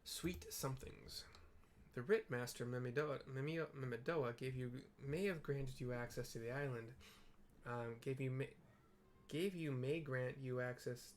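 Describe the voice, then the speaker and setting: A man giving casual, halting commentary as he plays through a video game and describes what is on screen. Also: mid-range voice, slight background noise. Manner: halting, informal. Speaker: gaming video host.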